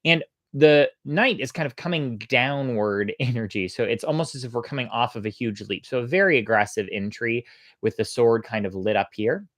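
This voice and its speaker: A storytelling host with a lively, varied pitch and a close, dry sound. The delivery is conversational and thoughtful, moving between casual explanation and expressive storybook narration. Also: normal speed, no room sound.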